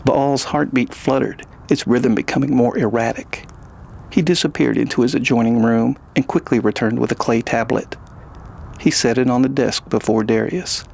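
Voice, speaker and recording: A man reading scripted fiction as the narrator, in a gravelly, husky voice. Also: mid-range voice, fairly even intonation, moderate pace, darkish tone.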